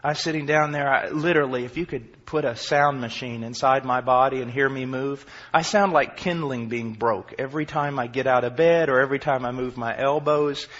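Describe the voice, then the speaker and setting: A man in his forties preaching a sermon with passion. He moves from quiet, low passages to shouted peaks and mixes in self-deprecating humor. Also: a little fast, hardly any pauses. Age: 40s. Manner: impassioned, swinging from quiet to shouting. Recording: dull lo-fi recording.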